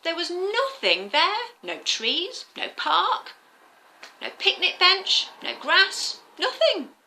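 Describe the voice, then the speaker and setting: A woman telling a story to young children in an animated, interactive way, often turning to the listeners with questions and simple explanations. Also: very high-pitched, takes it slowly, a little hiss or hum. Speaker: children's storyteller, former teacher.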